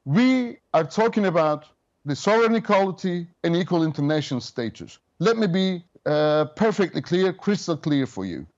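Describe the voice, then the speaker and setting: A man's voice: a little high, sing-song. Fifty-something man, muffled remote line. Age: 50s. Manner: measured, official, many hesitations. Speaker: government special representative. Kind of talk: remote interview guest.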